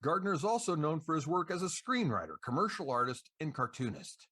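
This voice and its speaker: A man's voice: fairly high pitch, sing-song, fairly quick, bright, forceful tone, breathy edge. A man co-hosting a podcast, reading scripted introductions to dramatic scenes. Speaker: podcast co-host.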